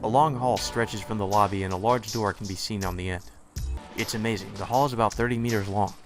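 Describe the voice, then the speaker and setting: A man's voice: on the low side, steady tone, brisk, over music. A man narrating a visual novel aloud in the first person, reading steadily with small stumbles. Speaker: let's play narrator.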